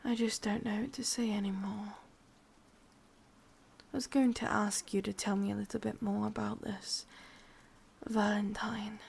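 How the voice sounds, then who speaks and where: A woman playing a sadistic, possessive, lovesick witch in a whispered ASMR roleplay, speaking intimately in an English accent with a breathy, husky voice. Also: medium pitch, flat delivery, darkish tone, slight background noise. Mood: wistful. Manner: slow, intimate, menacingly tender.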